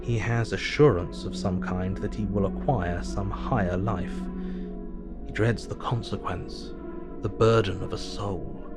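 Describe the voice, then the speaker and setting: An actor playing a grave, analytical asylum doctor narrating his diary in an audio drama, measured, thoughtful and formal. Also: sits low, fairly even intonation, loud music behind the voice. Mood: pensive.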